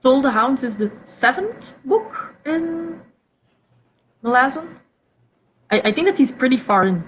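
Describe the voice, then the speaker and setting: A young woman from Belgium whose first language is Dutch, hosting a casual reading chat over a video call, chatty and quick to stumble over her first words. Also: sits high, expressive, a little hiss or hum, poor audio. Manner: chatty, repeats words when starting sentences, laughs often. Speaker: host of reading sprints. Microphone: video call mic.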